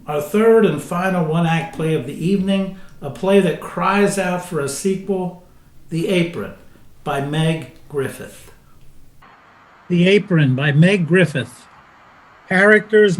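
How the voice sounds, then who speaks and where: A man announcing a stage play, reading scripted narration in a measured, formal manner. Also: sits high, echoey room.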